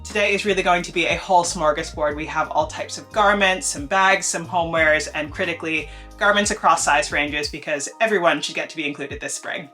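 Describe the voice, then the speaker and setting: A woman's voice: sits low, a little fast, few pauses, bright, forceful tone, soft background music. An enthusiastic young woman chatting on her sewing vlog, a little congested from allergies. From United States. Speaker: sewing vlogger. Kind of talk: casual upbeat vlog talk.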